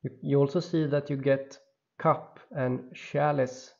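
A man from Sweden with a Swedish accent, speaking in a halting, insistent voice-over monologue full of restarts. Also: medium pitch, fairly even intonation, gravelly, darkish tone, dull audio. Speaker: video creator.

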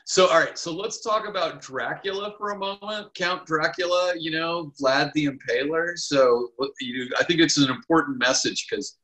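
A man in his fifties hosting an interview over a video call, asking brisk, direct questions. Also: a little high, pushed and bright, poor audio. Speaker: podcast host.

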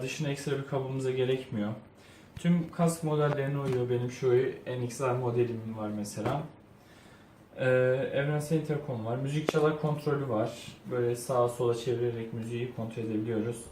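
A man with a dark, relaxed voice talks through an unboxing informally, thinking out loud as he goes. The room echoes around him, and there is a faint layer of noise. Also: fairly high pitch. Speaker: product reviewer. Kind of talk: casual unboxing review. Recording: roomy echo, faint background noise.

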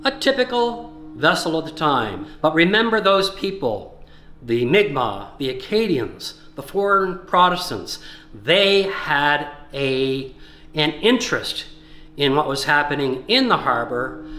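A man in his sixties guiding a walking tour, speaking in a measured way and listing details with emphasis, recorded outdoors by a harbor. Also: a little high, bright, forceful tone. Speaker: tour guide. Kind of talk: guided walking tour narration. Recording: faint outdoor background noise.

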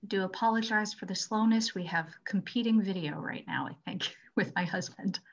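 A woman with a mid-pitched voice hosts an online webinar, casually giving housekeeping notes and instructions. The sound is dull and lo-fi, picked up over a video call. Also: moderate pace. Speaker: librarian hosting the webinar. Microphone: video call microphone.

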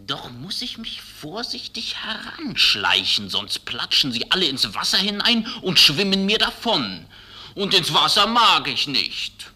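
A man's voice: fairly high pitch, sing-song, measured pace, sharp tone, breathy edge, full character voice. A man playing a greedy, sly fox in a children's fairy-tale audio play, savoring his words theatrically. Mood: gloating, hungry. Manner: gloating, savoring, theatrical delivery.

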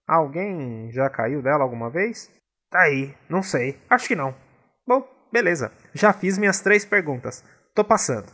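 A man's voice: on the high side, lively intonation, normal speed, bright, forceful tone, breathy edge, full character voice. A man voicing a confident, scheming frog brother in a cartoon comedy, chatting casually and with animation. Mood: casual, cheeky.

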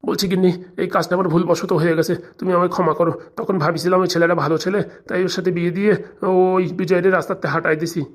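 A woman's voice: fairly low pitch, little pitch movement, quick pace, natural voice. A woman voicing a henpecked older husband in a comic cartoon, pleading and apologetic.

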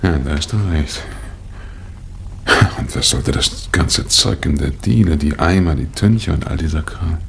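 An actor playing a cool, wry amateur detective in a 1960 radio mystery, with dry, theatrical banter. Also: male voice, extremely low pitch, measured pace, gravelly, full character voice. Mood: musing. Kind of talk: 1960 German radio mystery play acting. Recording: vintage vinyl radio play, noticeable surface noise.

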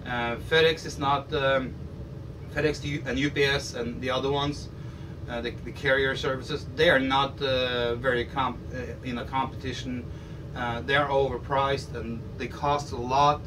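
A man's voice: medium pitch, flat delivery, a little slow. A middle-aged man explaining his shop's shipping service to camera, rambling with frequent restarts, in a room full of audio gear. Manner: rambling, restarts often, gestures while talking. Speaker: audio shop owner. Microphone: camera across the room. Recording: distant mic with faint room noise.

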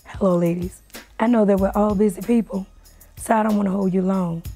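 A hoarse middle-aged woman playing a bossy music producer running a girl-group rehearsal in a small studio. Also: mid-range voice, fairly even intonation, a little fast, warm tone, close to an everyday voice. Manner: bossy, exasperated, repeatedly calling cut. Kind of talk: amateur film acting. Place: small dark recording studio.

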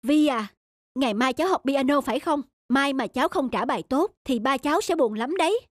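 A woman playing a strict, quick-tempered but loving stepmother in a children's cartoon. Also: high voice, animated, slight background noise. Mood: stern. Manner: scolding, stern. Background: background music.

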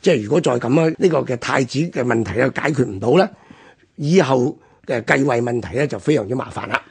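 A man's voice: medium pitch, expressive, a little fast, vocal fry, a little mellow, breathy edge. A man with a Hong Kong Cantonese accent hosting a conversational history discussion on the radio. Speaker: radio programme host.

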